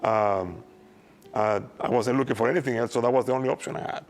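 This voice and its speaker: A man in his fifties from Venezuela, with a Venezuelan Spanish accent, speaking hesitantly with frequent pauses and repeated words in a documentary interview. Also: mid-range voice. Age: 50s. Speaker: former oil worker, interviewee. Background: soft guitar music.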